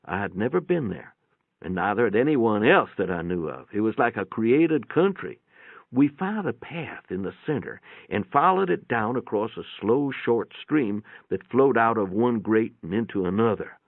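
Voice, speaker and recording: A man's voice: on the low side, lively intonation, moderate pace, gravelly, no room sound, narrow, tinny audio. A man giving a folksy, plainspoken dramatic reading of a first-person story, with a radio-like sound. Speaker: narrator, reader. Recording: band-limited, radio-like sound.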